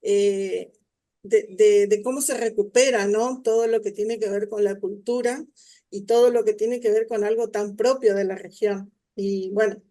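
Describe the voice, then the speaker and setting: A woman's voice: mid-range voice, mellow. A woman moderating an academic talk over a video call, hesitant, with many fillers and restarts. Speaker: symposium moderator.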